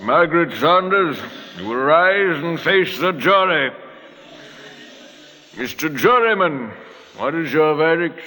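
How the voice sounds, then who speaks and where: An actor playing a stern, authoritative Lord Chief Justice in a 1940s radio drama, formal and commanding. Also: male voice, fairly high pitch, expressive, takes it slowly, pauses often, bright, forceful tone, heavily acted. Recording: old radio recording, reverberant courtroom sound.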